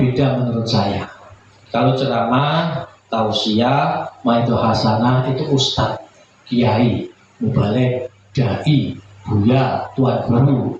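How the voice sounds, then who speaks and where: Echoey male preacher. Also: mid-range voice. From Indonesia. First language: regional Indonesian village language. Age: 40s. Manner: folksy, humble, joking, chatty with listeners. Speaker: Islamic preacher. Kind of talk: informal religious sermon to a congregation. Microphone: public address microphone. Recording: very echoey, muffled, noisy PA recording.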